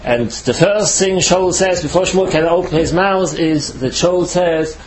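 Rabbi from Switzerland, halting English. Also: male voice, sits high, poor audio. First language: not English. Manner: halting non-native English, slow careful explanation. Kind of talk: Torah lecture.

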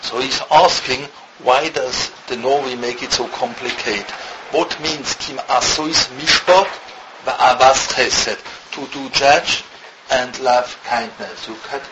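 Rabbi from Switzerland, halting second-language English, reads Hebrew fast; muffled recording with loud hiss. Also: male voice, mid-range voice. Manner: halting non-native English, rapid Hebrew reading. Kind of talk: Torah lecture, reading Hebrew text then translating.

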